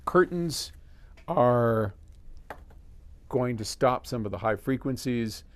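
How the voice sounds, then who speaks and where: A man's voice: mid-range voice, lively intonation, slow. A man hosting a casual explainer, answering a question in a folksy, conversational way as he thinks aloud. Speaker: host answering a listener's audio question. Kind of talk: casual explainer, reading a letter then advising.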